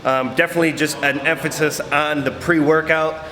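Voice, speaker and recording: A man's voice: a little high, fairly even intonation, moderate pace, pushed and bright. A young man hosting a fitness vlog, giving casual, filler-heavy, upbeat gym instruction. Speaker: fitness vlogger. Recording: roomy gym with music behind.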